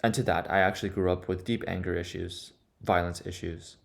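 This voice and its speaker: This is a man with a low voice that stays fairly flat in its melody. He speaks in short, deliberate phrases, earnest and confessional. It sounds like a personal monologue in which he reflects aloud. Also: gravelly. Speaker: vlogger.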